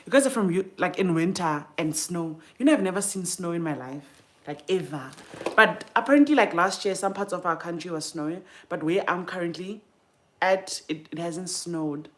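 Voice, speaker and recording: A woman's voice: fairly low pitch, expressive, normal speed. A young woman with a South African accent chatting casually and informally to livestream viewers, thinking aloud and trailing off mid-thought. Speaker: livestream host. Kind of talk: casual chat while doing makeup.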